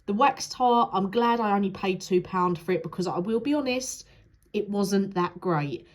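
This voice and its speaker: A woman's voice: medium pitch, normal speed. A young woman talking through a candle review in a chatty, frank way. Her voice sounds nasal and blocked up from a cold, with the odd sniffle. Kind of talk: casual product review vlog.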